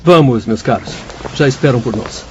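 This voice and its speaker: An actor playing an earnest, kindly spirit doctor guiding newcomers in a radio drama, formal and explanatory. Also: male voice, mid-range voice, normal speed, muffled recording, natural voice. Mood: earnest, grave.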